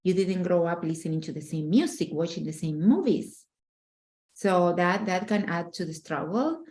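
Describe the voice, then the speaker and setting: A woman's voice: fairly low pitch, normal speed, a little mellow. A middle-aged woman from Argentina, speaking earnestly and emphatically as a relationship coach in a casual interview, often restarting her phrases.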